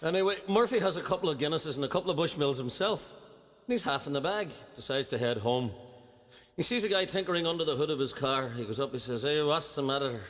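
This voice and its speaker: A man's voice: on the high side, fast talker, a bit bright. A man telling a long stand-up joke, acting out the people in it. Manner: acts out characters in a lilting storyteller's voice. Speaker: comedian telling jokes. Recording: played-back stand-up set with hall reverb.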